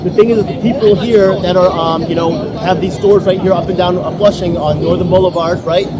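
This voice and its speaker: A man's voice: high-pitched, steady tone, a little fast. A man interviewing a candidate on a city street, asking rambling, chatty questions. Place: outdoors on a city street with traffic. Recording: loud street noise. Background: traffic.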